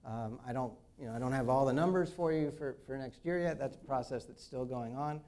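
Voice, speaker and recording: A man's voice: medium pitch, darkish tone. A man, an engineering dean, speaking off the cuff on a panel with frequent "uh" fillers and restarts. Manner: halting, many "uh" fillers and restarts. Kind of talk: unscripted panel remarks.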